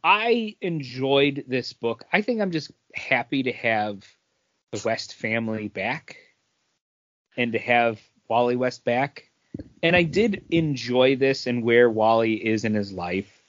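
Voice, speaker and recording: A man's voice: medium pitch, animated, slow, a bit bright, no echo. A man hosting a podcast in a casual, conversational review of comic books. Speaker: podcast host. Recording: dull, dry lo-fi sound.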